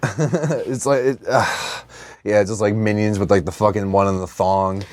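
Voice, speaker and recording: A man's voice: fairly low pitch, steady tone, a little slow, a little mellow, dry recording. A man co-hosting a casual comedy podcast, talking in an irreverent, rambling way full of swearing. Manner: irreverent, profanity-laden, rambling. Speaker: podcast co-host.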